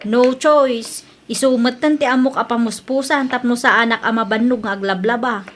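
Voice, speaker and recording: A woman playing a grown daughter with an Ilocano accent in an audio drama, speaking softly and earnestly. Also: on the high side, steady tone, normal speed, natural voice. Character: grown daughter, once scornful now humbled. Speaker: daughter Brenda.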